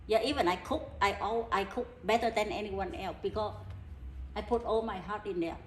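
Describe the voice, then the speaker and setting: A middle-aged woman from Vietnam with a Vietnamese accent, a healer talking earnestly in halting, broken English. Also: medium pitch. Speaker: energy healer. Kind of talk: casual interview talk. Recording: echoey room with background noise.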